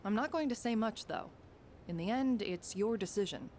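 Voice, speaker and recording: An actress playing a frank, caring female mentor in a video game, speaking in a measured, direct and earnest way. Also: medium pitch, darkish tone, slight background noise, lightly acted. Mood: businesslike. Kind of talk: dramatic video game voice acting.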